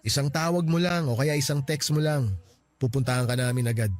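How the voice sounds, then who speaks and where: A man's voice: mid-range voice, brisk, natural voice. An actor playing a young man's close friend who comforts him and teases him along, in a Filipino radio drama. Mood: encouraging. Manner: gentle, reassuring, teasing. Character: supportive joking male friend of the grieving man. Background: soft music.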